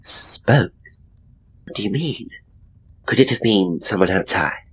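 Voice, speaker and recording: A man voicing a skittish, anxious woman with a breathy, worried, questioning manner in a horror story. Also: fairly low pitch, lively intonation, slow, heavily acted. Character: skittish younger woman, anxious sister and secret conspirator.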